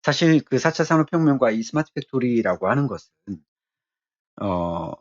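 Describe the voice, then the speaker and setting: Husky guy teaching a tech course, explains slowly in bits w lots of pauses, bit muffled recording. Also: medium pitch, sing-song. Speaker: technical training lecturer. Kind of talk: explanatory lecture.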